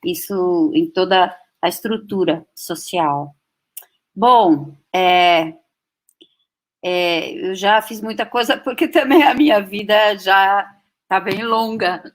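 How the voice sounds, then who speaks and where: Woman, late fifties, German first language, unhurried, reflective, many pauses. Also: mid-range voice. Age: 50s. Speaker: guest speaker. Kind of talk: personal talk to an audience.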